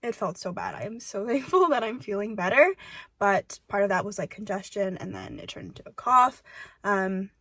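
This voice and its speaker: A woman's voice: medium pitch, no echo. A woman in her twenties vlogging to her camera, chatty, upbeat and candid, sometimes turning reflective. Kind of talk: casual chatty vlog.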